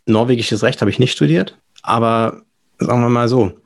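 A young German man speaks as an interview guest, explaining things in a casual way. His mid-pitched voice is distinctly husky, with plenty of vocal fry. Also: normal speed. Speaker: podcast guest, lawyer.

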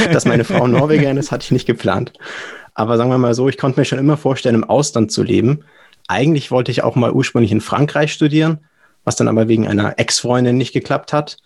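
Young male German podcast guest, casual explainer, gravelly and husky, mid-pitched. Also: brisk, a little mellow. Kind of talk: casual explanatory interview talk.

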